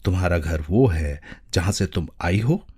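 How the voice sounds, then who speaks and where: A man voicing a troubled male client who speaks in a soft, probing way, in a dramatic story reading. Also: low voice, animated, unhurried, breathy edge, voice pushed down, full character voice. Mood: resigned. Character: troubled, hesitant male client trying to be sympathetic.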